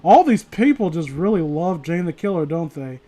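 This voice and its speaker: A man with a notably high voice reads aloud to a livestream in a loose, informal way. He rambles, swears freely and often drops a sentence halfway to add mocking commentary. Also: very animated, moderate pace, dark tone. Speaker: streamer reading stories aloud to viewers.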